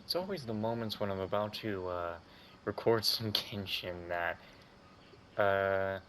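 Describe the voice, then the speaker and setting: A man giving casual, halting, mumbled commentary as he hosts a gaming playthrough. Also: deep voice, fairly bright tone, slight background noise. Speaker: gaming video host.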